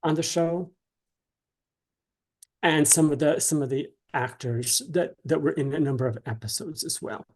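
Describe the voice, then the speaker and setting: A man answering interview questions casually, in a hesitant, halting and polite way. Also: fairly high pitch. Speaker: interview guest, dramatist and author.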